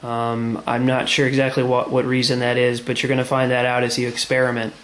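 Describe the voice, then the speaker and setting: Man with an even voice, echoey room. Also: mid-range voice, brisk. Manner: plain, step-by-step explaining. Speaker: tutorial presenter. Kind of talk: casual hands-on how-to demonstration. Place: indoors at a tiled tabletop. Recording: echoey room, background noise.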